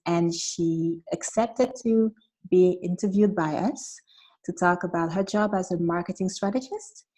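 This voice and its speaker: A woman's voice: lowish voice, darkish tone, poor audio. A woman hosting a casual interview, friendly and hesitant, with many fillers and small laughs. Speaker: interview host.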